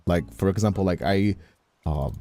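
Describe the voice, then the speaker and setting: A young man from Brazil chatting casually on a livestream, halting and repeating himself as he checks for agreement. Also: sits low, mellow. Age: young adult. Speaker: streamer. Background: background music.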